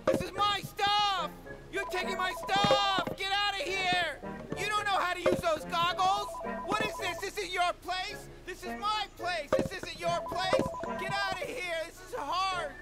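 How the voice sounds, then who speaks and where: Shrill, frantic, territorial little creature. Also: male voice, little pitch movement, measured pace, over music. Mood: outraged, panicked. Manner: shrieking, foul-mouthed, repetitive ranting. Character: frantic territorial little creature guarding his tree world. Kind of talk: video game character performance.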